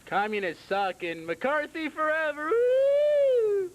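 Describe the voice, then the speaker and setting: A man's voice: very high voice, very animated, slow pace, highs cut off, full character voice. A man voicing a dim, eager wisecracking puppet kid who heckles goofily on a comedy puppet show. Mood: eager, goofy. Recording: noisy amateur TV recording.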